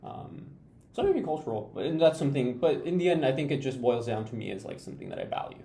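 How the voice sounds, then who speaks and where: A man's voice: medium pitch, huge pitch swings, fast, gravelly, mellow. A young man from Peru in a student podcast discussion, thoughtful and searching for words. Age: young adult. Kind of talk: casual discussion. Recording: echoey room, faint noise.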